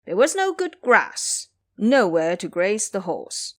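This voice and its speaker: A woman's voice: medium pitch, sing-song, slow, a bit bright. A woman voicing a boastful, lazy, lustful male pig monster in an audio drama, pleading and bragging as he talks. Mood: sulky.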